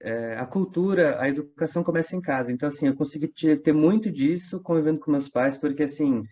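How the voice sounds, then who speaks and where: A man's voice: a little high, fast talker, warm tone, muffled recording. A man in his twenties with a Brazilian accent from São Paulo state, chatting casually and anecdotally as an interview guest. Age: 20s. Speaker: theatre company actor, interview guest.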